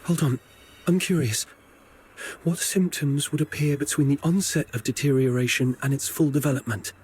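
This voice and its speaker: Male actor, young game investigator, lightish high pitch, composed, inquisitive, insistent, faint background noise. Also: moderate pace, darkish tone, lightly acted. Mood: curious, pondering. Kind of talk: video game character dialogue.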